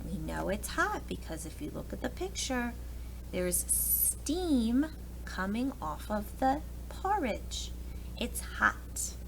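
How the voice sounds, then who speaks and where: A woman reading a storybook aloud to young children in an expressive way, pausing to explain things to them. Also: on the high side, creaky, slight background noise, low-quality recording. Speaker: preschool read-aloud teacher.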